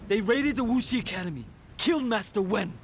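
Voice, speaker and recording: A man's voice: high-pitched, animated, brisk, a little hiss or hum. An actor playing a hot-headed young male Shaolin monk warrior in a video game cutscene. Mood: angry, vengeful.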